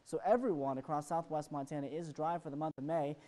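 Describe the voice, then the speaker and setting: Fast-talking American TV weatherman, mellow voice. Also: on the high side, steady tone. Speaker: television weather forecaster. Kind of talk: ad-libbed broadcast weather forecast.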